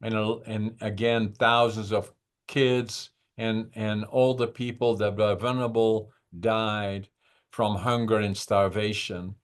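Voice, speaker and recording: A man in his eighties from the Netherlands, a podcast guest who talks over a video call and recalls his childhood in slow, reflective stories with many pauses. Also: fairly low pitch, little pitch movement, smooth. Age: 80s. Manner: unhurried, reflective, with frequent "uh" pauses. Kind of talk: conversational storytelling.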